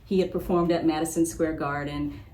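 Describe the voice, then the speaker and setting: A woman's voice: deep voice, level tone, a little fast, darkish tone, roomy sound. A woman hosting a casual interview, reminiscing with enthusiastic, gushing delivery. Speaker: interviewer and host. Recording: clearly noisy recording.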